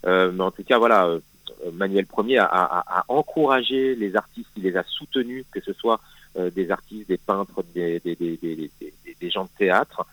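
A man's voice: sits low, moderate pace, a bit bright, rough recording. A man explaining history in a radio interview, hesitant, with many fillers and restarts. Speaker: magazine guest historian.

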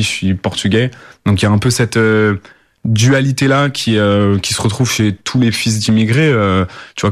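Hesitant young man, low voice. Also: no room sound, clean studio sound. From Paris suburbs, France. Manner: hesitant, many fillers and restarts. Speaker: rapper, guest. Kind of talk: casual interview answers.

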